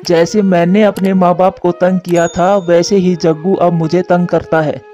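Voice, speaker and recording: A man's voice: sits high, flat delivery, moderate pace, dark tone, faint music behind, lightly acted. A man voicing a weary, ageing father bird in a cartoon, lamenting earnestly and plaintively, on a dull lo-fi recording. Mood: bitter lament. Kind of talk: Hindi cartoon voice acting.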